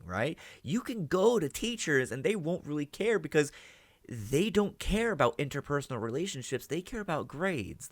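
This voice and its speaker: A man's voice: mid-range voice, fairly bright tone, no room sound. A man giving casual reaction commentary as host, thinking aloud, swearing now and then and checking in with "right?". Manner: ends statements with "right?" as a check.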